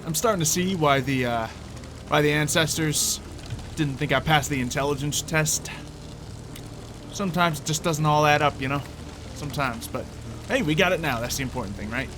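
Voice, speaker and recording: Man, medium pitch, casual gaming host, rambling think-aloud delivery, light roleplay, clear background music.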